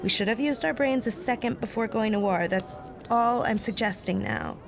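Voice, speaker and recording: A woman's voice: a little high, normal speed, vocal fry, crowd noise. A woman playing a level-headed teenage American girl in a fantasy audio drama, reasoning firmly and assertively with her friends.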